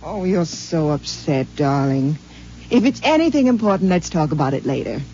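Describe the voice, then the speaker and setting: A doting young wife who coos softly and soothingly, her pitch gliding up and down. Her tone is mellow, heard through a dull, faintly hissing 1950s radio broadcast. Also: sing-song, moderate pace. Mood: cooing. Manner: soft, cooing, soothing. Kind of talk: 1950s radio drama acting.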